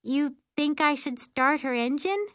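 Woman voicing an irritable, high little witch girl. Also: measured pace, a bit bright, no room sound, studio quality. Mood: puzzled, testy. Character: irritable, easily flustered younger sister, a young girl witch.

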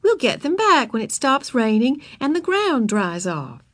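A woman's voice: high voice, big pitch swings, unhurried, no room sound, studio quality, pitched above the performer's own voice, full character voice. A woman voicing a kindly kindergarten teacher, reassuring and polite but firm, in a children's storybook reading. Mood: soothing, firm.

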